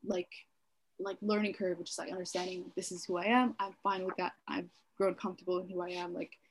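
A woman's voice: fairly low pitch, flat delivery, a little fast, slight background noise, rough recording. A woman in her twenties from Kenya, co-hosting a podcast in casual chat, talking freely with many fillers and frequent laughs. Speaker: podcast co-host.

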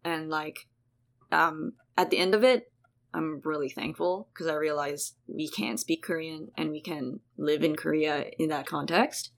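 Low-voiced young woman, rambling and chatty. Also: fairly even intonation, a little slow, vocal fry. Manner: chatty, rambling, full of "like" and restarts. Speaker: guest host. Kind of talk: casual conversation between sisters.

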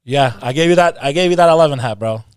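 A man hosting a casual interview show, rambling and name-dropping friends with shout-outs. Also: a little high, quick pace, clear, resonant tone, dry recording, clean studio sound. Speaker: podcast host.

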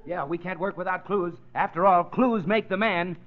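An actor playing an eager, simple-minded detective's sidekick who repeats himself, in old-time radio comedy. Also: male voice, high voice, normal speed, natural voice. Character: eager, dim-witted male detective's sidekick. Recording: dull, very noisy old radio recording.

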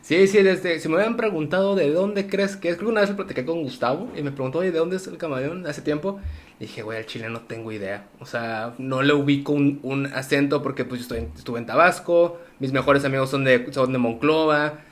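A man's voice: a little high, brisk. A man with a Mexican accent hosting a casual interview, laid-back and joking, with plenty of slang. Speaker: host.

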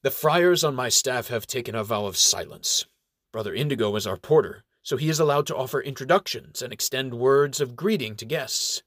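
A man's voice: mid-range voice, expressive, normal speed, a bit bright, no room sound, clean studio sound. A man voicing a courtly male inquisitor who speaks formally and piously. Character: courtly male inquisitor leading a friars' party.

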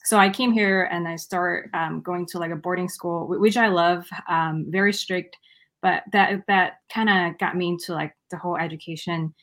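A woman's voice: on the low side, steady tone, moderate pace, fairly bright tone. Hesitant woman from Malaysia. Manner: hesitant, many fillers and restarts. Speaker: guest. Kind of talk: casual interview answers.

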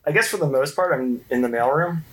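A man's voice: a little high, fast, poor audio. A man chatting casually as a podcast guest, slipping in wry, dry asides. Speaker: mailroom worker, podcast guest. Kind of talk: casual chat. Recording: light background noise.

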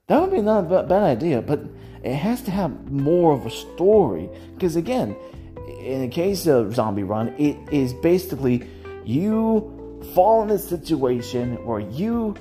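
Podcast host, mellow tone, animated intonation, casual opinion talk, halting and self-correcting, music in background. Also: medium pitch. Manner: halting, thinking out loud, often trailing off and correcting himself. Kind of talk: casual rambling opinion talk about games and audio drama. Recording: music playing behind the voice.

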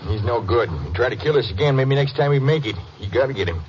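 An actor playing a nervous, insistent, resentful rural husband in a 1950s radio drama, with a Southern American accent and halting folksy speech. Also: male voice, medium pitch, lively intonation, darkish tone, natural voice. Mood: agitated, accusing. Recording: muffled, slightly noisy old radio recording.

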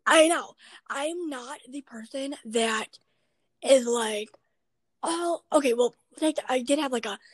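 A young woman hosting a podcast in a casual chat, with a raspy voice and a chatty, rambling way of talking. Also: sits high, takes it slowly, dry recording. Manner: chatty, rambling, many fillers. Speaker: podcast host.

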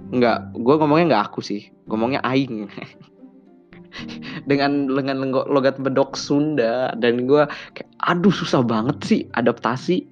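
A young man from Bandung chats casually on a podcast episode, slangy and relaxed, now and then jumping higher to play out his childhood conversations. His voice sits at a middle pitch, and the audio is a bit dull. Soft music plays faintly underneath. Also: a little slow. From Bandung, West Java, Indonesia. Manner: chatty Jakarta slang, acts out childhood dialogue in raised voices. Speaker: podcast host. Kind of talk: casual personal storytelling.

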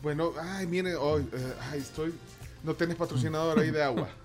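A man's voice: a little high, fairly quick, music in the background. A man hosting a live radio show, bantering casually and tripping over his words. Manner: playful, stumbling over words.

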